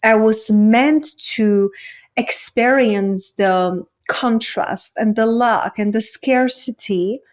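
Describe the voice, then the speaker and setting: A woman's voice: fairly high pitch, takes it slowly. A woman from the Czech Republic with a Czech accent, speaking earnestly and reflectively as a coach being interviewed, in spiritual self-help phrasing. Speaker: podcast guest, women's coach. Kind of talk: reflective personal interview talk.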